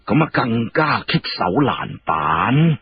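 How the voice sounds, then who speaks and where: A man's voice: mid-range voice, sing-song, takes it slowly. A man voicing an aged, tactful court minister who weighs each phrase in Cantonese storytelling. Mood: calm, approving. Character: aged senior minister, measured, tactful, deferential to the emperor. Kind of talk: Cantonese historical storytelling dialogue. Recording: muffled, clearly noisy radio-like recording.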